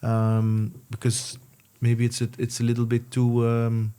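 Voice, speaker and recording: Guy hosting an interview, mellow voice, keeps restarting his sentences. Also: mid-range voice, monotone, clear, resonant tone. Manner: halting, many restarts and fillers. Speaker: podcast host. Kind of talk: casual interview.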